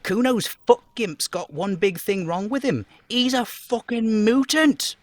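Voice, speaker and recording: A man voicing an aggressive, sneering young street boy who swears and talks about himself in the third person in a high, strained voice, in a game. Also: unhurried, dry recording. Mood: aggressive, scornful. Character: foul-mouthed aggressive bratty young street boy, Kuno.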